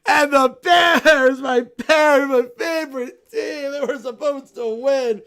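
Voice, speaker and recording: A high, loud little bear boy in a full tantrum, wailing and shouting through tears, as a woman puts him on. His voice climbs shrill and cracks with sobbing, and he drags out his words. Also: lively intonation, slow pace, gravelly, exaggerated voice. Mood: whiny tantrum.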